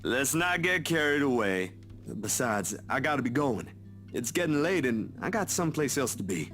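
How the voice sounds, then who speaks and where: A man's voice: mid-range voice, sing-song, moderate pace, a bit bright. Guy playing a shy stammery young dude, voice jumps up and down, music in the back. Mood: awkward polite. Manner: stammering, awkward, polite. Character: shy, flustered young male photographer. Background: game music.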